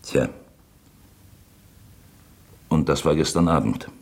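Elderly, haunted uncle for radio drama: very low, husky, pensive, uneasy. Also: male voice, full character voice. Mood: musing, rueful. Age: older. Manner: halting, pensive, dramatic when quoting. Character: brooding elderly uncle, uneasy and fascinated by a manuscript.

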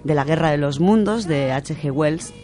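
A man's voice: fairly high pitch, moderate pace, darkish tone, faint music behind. A man with an accent from Spain co-hosting a radio show in an enthusiastic, casual, chatty way. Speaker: podcast co-host presenting a literature section.

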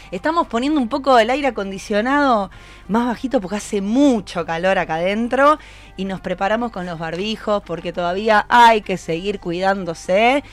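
Conductora de radio porteña, voz aguda. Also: female voice, fairly high pitch. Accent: Argentine, Buenos Aires. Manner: informal, chatty, joking. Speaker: radio host. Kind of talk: casual live radio hosting. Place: radio studio. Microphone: studio microphone.

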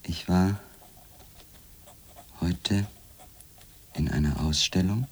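Radio drama journalist: brooding loner, bottomless low register, slow halting delivery, noisy recording. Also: male voice, full character voice. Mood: brooding, pleading. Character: obsessed, solitary male journalist writing to his wife. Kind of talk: radio drama letter monologue.

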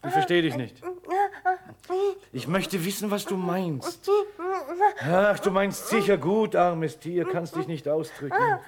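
A man acting in a radio drama as a naive, simple-hearted young soldier, guileless and excitable. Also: sits high, takes it slowly, pauses often, a crowd in the background, full character voice. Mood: puzzled, gentle.

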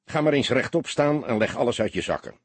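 A man voicing a patient, fatherly older police detective with a measured, gently insistent way of questioning. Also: mid-range voice, animated, breathy edge, no room sound, pitched above the performer's own voice.

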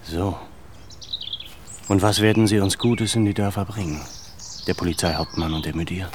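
Man playing a low-voiced, composed gentleman. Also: steady tone, lightly acted. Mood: wary, questioning. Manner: measured, deliberate questioning. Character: composed, probing Armenian gentleman, addressed as effendi. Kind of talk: radio drama acting. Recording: faint noise behind.

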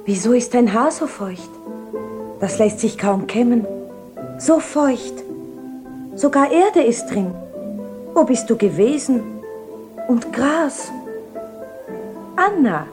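A tender figure of a wedding morning in a radio drama. She speaks slowly and lyrically in a fairly high voice, with music underneath. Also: animated. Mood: tender, puzzled. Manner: lyrical, unhurried, theatrical. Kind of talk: German-language radio play acting, 1970.

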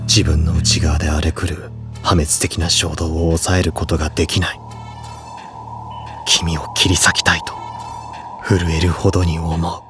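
An actor playing a solemn, tormented high school honor student in an audio drama, speaking with grave, formal restraint. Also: male voice.

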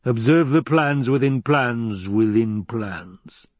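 Man acting a slow, menacing villain baron. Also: medium pitch, expressive, no echo, dull audio. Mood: smug, commanding. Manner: slow, drawling, growling command. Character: domineering, menacing, indulgent older villain baron. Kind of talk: audiobook character acting.

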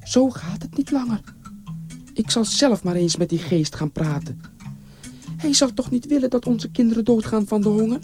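A high-pitched, trusting village wife played by a male storyteller. She speaks earnestly and questioningly, as a worried mother in a storybook tale, with music clearly behind her. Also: moderate pace, darkish tone, lightly acted. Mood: resolute. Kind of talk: storybook character voice.

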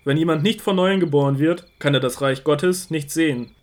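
A man acting as an earnest male teacher in a biblical audio drama. His voice is fairly high for a man. He speaks with authority, setting out each statement in a measured, emphatic way. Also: slight background noise, close to an everyday voice. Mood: solemn, emphatic. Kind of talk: dramatized scripture audio play.

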